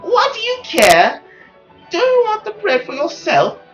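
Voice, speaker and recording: Bold small peasant girl, voiced by a woman, high-pitched, blunt, childlike, curious; visual novel character. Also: wildly swooping pitch, a little slow, dull audio, faint music behind, exaggerated voice. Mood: curious, cheeky. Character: bold, cheeky seven-year-old peasant girl, curious and generous.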